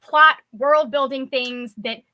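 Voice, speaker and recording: High, bright-voiced woman giving opinions. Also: slow pace, no echo. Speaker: co-host on a book discussion livestream. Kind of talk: casual book discussion.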